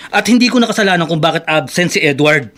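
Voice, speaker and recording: A man's voice: on the high side, lively intonation, quick pace, close to an everyday voice. An actor playing a resentful, self-serving male office worker in a radio drama, with a Filipino accent. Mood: irritated, defiant. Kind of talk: Tagalog radio drama acting.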